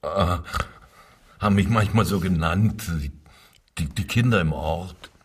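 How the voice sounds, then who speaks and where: Nervous submissive railway worker, slow delivery, hesitant, evasive, crime radio play, slightly off the mic. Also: male voice, sits low, husky, distant mic, full character voice. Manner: hesitant, deferential, defensive. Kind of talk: German crime radio drama acting.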